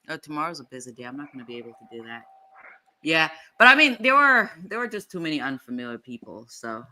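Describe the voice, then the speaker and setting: A woman's voice: mid-range voice, bright, forceful tone, rough recording. A woman hosting a casual live chat, drifting distractedly between reading viewer comments and replying to them. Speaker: livestream host.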